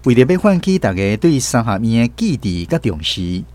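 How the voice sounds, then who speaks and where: A man's voice: medium pitch, very animated, normal speed, darkish tone, dry recording, soft background music. A male radio host with a Taiwanese accent reading a scripted announcement to listeners.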